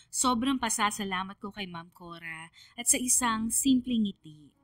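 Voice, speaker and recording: A woman voicing a quiet, grateful senior high schoolgirl who tells her story on radio, earnest and reflective, with a Filipino accent. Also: no room sound, studio quality, distant mic, close to an everyday voice. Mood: grateful, tender. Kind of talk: dramatized first-person radio storytelling. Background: music.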